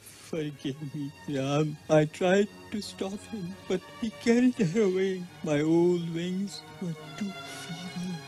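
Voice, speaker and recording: A man voicing a gentle, wounded old bird in an animated epic, weak, halting and sorrowful, with music behind. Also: on the high side. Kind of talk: dramatic animated character acting.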